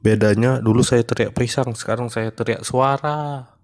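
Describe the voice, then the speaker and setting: A man voicing a male monkey stand-up comedian in a cartoon who is running for mayor, telling jokes in a deadpan, even way. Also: medium pitch, moderate pace, dry recording, natural voice.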